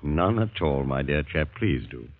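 A man's voice: a bass voice, animated, measured pace, soft background music. A man with a British accent playing a solicitous, probing English doctor and veteran in a genteel, coaxing way, in 1940s radio drama style. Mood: kindly, inviting. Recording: dull old radio drama recording.

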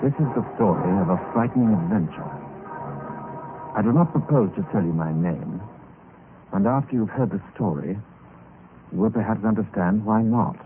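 A man with a British accent playing a genial, curious, playfully reckless gentleman adventurer in an urbane, theatrical old-time radio style. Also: on the low side, expressive, normal speed, long pauses, music in the background, natural voice. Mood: measured storytelling. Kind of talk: old-time radio acting, part narration. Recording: scratchy, tinny old radio recording.

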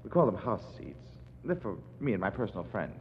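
Chatty wry theater producer, lowish voice, swoops around, old radio show. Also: male voice, big pitch swings, brisk, vocal fry. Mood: guarded. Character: affable, slightly wary male theater producer. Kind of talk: 1940s radio drama acting. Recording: echoing, muffled 1940s radio recording with hiss.

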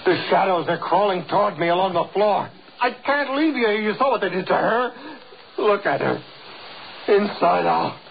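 An actor with an American accent playing a determined, domineering doctor in a 1930s radio horror drama, urgent and melodramatic. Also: male voice, high voice, lively intonation, moderate pace, creaky, husky, exaggerated voice. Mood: panicked, desperate. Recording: noisy, muffled 1930s radio recording.

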